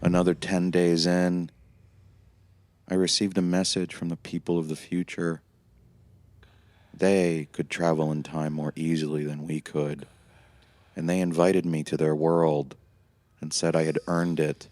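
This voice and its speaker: A man playing a weary, haunted time-traveling prisoner who narrates his memories in a measured, somber, reflective way, as in an audio play. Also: sits low, little pitch movement, a little mellow, no room sound. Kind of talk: scripted first-person dramatic narration.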